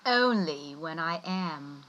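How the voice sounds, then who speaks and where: A woman's voice: low voice, animated, takes it slowly, a bit bright, dull audio, heavily acted. Woman playing a coy, melodramatic gold-digger, swooping. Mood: coy, mysterious. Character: mysterious, melodramatic young female gold-digger. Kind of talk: comic radio play acting.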